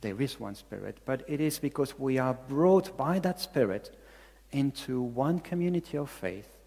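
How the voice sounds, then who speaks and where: A man with a Belgian accent preaches in English, his second language, with an earnest delivery that leans on repeated phrases. His voice sits at an ordinary middle pitch. The sound carries a long reverb, as in a large hall. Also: lively intonation, normal speed, creaky, warm tone. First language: not English. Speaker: pastor. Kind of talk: sermon.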